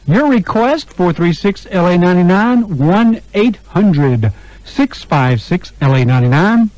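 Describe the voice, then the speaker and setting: A man's voice: sits high, expressive, slow pace, darkish tone, dry recording. A man hosting an oldies radio show with upbeat, chatty, informal announcer patter into a studio microphone. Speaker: radio DJ and interviewer. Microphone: close broadcast microphone. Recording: dull, lo-fi radio aircheck tape.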